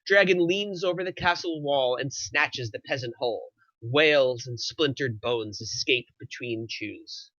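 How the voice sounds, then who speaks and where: Male narrator for a screenplay table read, medium pitch, bright edge, slightly dull recording. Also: a little slow, no room sound. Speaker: narrator reading stage directions.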